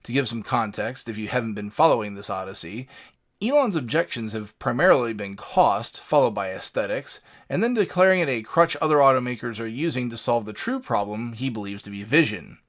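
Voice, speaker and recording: Male podcast host, medium pitch, highly varied intonation, scripted commentary narration. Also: expressive, brisk, a bit bright.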